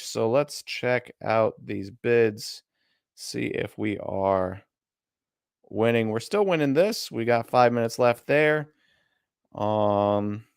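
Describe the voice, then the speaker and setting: A man's voice: mid-range voice, vocal fry, smooth, no room sound, clean studio sound. A man with a Midwestern American accent, co-hosting a casual live stream and thinking aloud as he browses listings. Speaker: co-host of a card auction stream.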